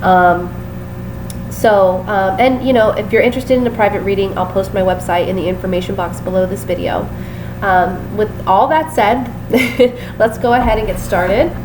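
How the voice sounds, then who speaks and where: A woman with a stuffy, nasal voice from a sinus infection talks through a tarot reading in a relaxed, apologetic way, filling gaps with "um" and "uh". Her voice sits mid-pitched, and a clear steady hiss runs under the home recording. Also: normal speed. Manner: apologetic, chatty, many fillers. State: sick with a sinus infection, sniffly. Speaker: tarot reader. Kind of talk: casual tarot reading to camera.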